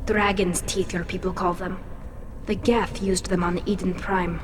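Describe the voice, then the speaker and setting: A woman voicing a cautious, earnest young female alien engineer in a video game, speaking in a measured, precise way. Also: lowish voice, little pitch movement, background noise, natural voice. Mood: wary.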